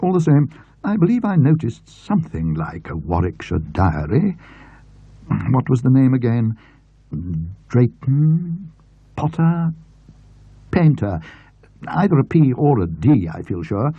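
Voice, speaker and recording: A man voicing a chatty, self-absorbed English gentleman in a story, breezy and rambling. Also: medium pitch, big pitch swings, unhurried, long pauses, slightly rough, pitched above the performer's own voice. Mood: musing, breezy. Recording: muffled, roomy old audio recording.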